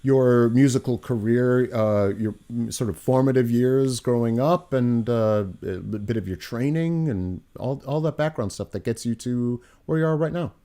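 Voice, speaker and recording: A man hosting an interview, talking in a halting way with stammering restarts. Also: medium pitch, warm tone. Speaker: host, choir artistic director. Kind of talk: interview conversation.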